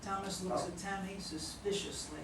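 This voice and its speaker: Super echoey noisy room, woman reading out stage directions, mid pitch. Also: steady tone, moderate pace, vocal fry, distant mic. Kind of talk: scripted narration at a play reading.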